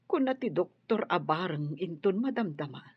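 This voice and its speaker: Caring lady in a radio play, raspy and expressive, slightly muffled recording. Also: lowish voice, normal speed, no room sound. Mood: reassuring, concerned. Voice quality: husky. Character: concerned, kindly woman caring for an injured girl. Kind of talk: radio drama acting. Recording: dull, muffled studio sound.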